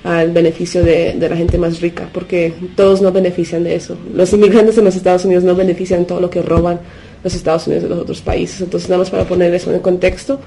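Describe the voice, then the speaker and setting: A woman from the United States, a native Spanish speaker, talking unscripted as a radio interview guest. She speaks haltingly, searching for words and slipping in English fillers. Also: on the low side, little pitch movement, gravelly, dark tone, rough recording. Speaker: interview guest from a punk collective.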